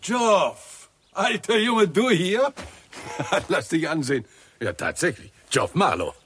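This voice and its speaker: A man playing a hearty, confident, brisk scientist in a 1960s German radio play. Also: on the high side, lively intonation, fairly bright tone, a little hiss or hum. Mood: delighted surprise. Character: hearty, lively male scientist, middle-aged, confident.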